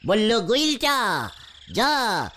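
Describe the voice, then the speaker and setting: A woman's voice: a little high, sing-song, very slow, noisy background, full character voice. A woman voicing a pompous, idle uncle who spins absurd tall tales in a comic cartoon, speaking. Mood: smug storytelling. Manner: pompous, drawling storytelling.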